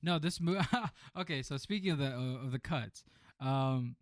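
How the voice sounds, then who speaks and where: Guy co-hosting a film podcast, medium pitch, keeps restarting sentences, no room echo. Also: a little slow, studio quality. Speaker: film review podcast co-host. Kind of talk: casual movie review chat.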